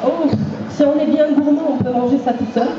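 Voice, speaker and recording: A slightly hoarse young woman giving a live cooking demonstration to an audience, talking hesitantly with many fillers. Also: high-pitched, a little fast, crowd noise, background noise. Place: indoor fair hall with an audience. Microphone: handheld microphone. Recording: distant, echoey, muffled hall recording.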